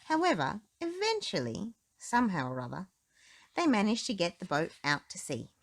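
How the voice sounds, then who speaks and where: A woman narrating a children's storybook aloud in an expressive style. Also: mid-range voice, normal speed.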